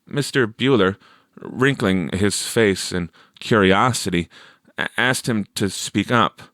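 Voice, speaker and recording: A man narrating a story from a script in a measured, expressive storytelling manner. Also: fairly low pitch, no echo, studio quality. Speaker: audiobook narrator.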